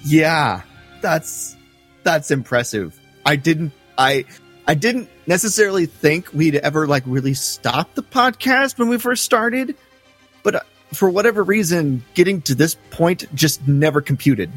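Man, podcast co-host, enthusiastic, stumbling restarts, slightly raised pitch. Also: expressive, a little slow, fairly bright tone, slightly rough, soft background music. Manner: enthusiastic, laughs easily, restarts sentences. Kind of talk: casual podcast chat.

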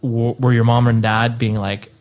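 A man's voice: medium pitch, level tone, moderate pace, a little mellow. A man hosting a casual interview, asking questions and chiming in with short agreements. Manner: friendly, chimes in with short agreements. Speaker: interviewer, podcast host.

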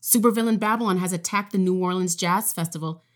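An actress playing a composed, serious public radio news anchor in an audio drama. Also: female voice, lowish voice, fast, close to an everyday voice.

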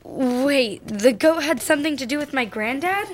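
A woman's voice: high voice, expressive, moderate pace, a little hiss or hum. A female performer playing a curious, questioning ten-year-old girl in an audio drama. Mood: worried, questioning.

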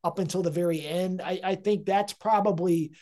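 A man, a guest sports writer, breaking down football games in casual analysis, halting and restarting his sentences often. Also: high voice, steady tone, mellow. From India. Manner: hesitant, many restarts and fillers.

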